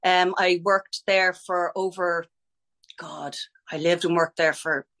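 Chatty irish woman, low-ish voice. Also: steady tone, slow, a bit bright. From Ireland. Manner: chatty, self-interrupting, laughs easily. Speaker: ballet teacher and former ballerina. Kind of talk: casual podcast interview.

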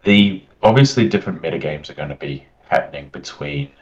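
A man with a New Zealand accent talks in a chatty, rambling way as a guest on a casual interview show. His voice sits very low. The audio is dull and lo-fi. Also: lively intonation, a little fast. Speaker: guest, tournament winner.

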